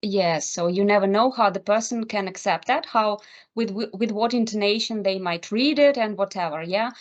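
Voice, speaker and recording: A woman co-hosting an online English speaking club, relaxed and conversational as she reads out questions and answers them. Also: mid-range voice, moderate pace. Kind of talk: casual online English lesson chat. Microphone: video call. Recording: lo-fi video call audio.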